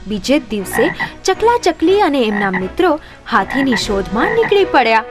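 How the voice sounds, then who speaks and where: Woman narrator for children's tales, expressive and higher-pitched, over faint background music. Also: moderate pace. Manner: expressive storytelling. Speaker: storyteller, narrator. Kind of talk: scripted children's story narration.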